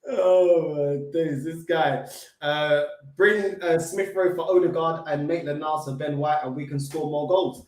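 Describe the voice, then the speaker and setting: A man's voice: on the high side, steady tone, a little slow. A man with a London accent, co-hosting a casual football fan live chat, bantering in slang and reading out comments. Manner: banter-filled, laughs often, London slang. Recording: roomy echo.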